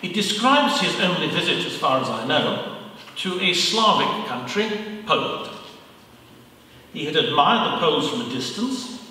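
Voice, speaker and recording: An English man delivers a measured, dryly witty lecture in a bright, forceful voice pitched a little high. He is picked up from a distance in a big, echoing hall with long reverb. A faint hiss sits underneath. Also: big pitch swings. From England. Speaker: guest speaker. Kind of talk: prepared lecture.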